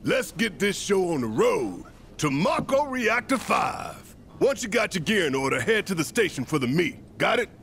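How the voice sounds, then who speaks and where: Gravelly, hot-tempered rebel leader. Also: male voice, sits high, sing-song, moderate pace, hardly any pauses, a bit bright, faint music behind, exaggerated voice. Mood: rousing. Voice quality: gruff, gravelly. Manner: swearing, commanding, slangy. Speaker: resistance cell leader. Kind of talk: cinematic video game acting.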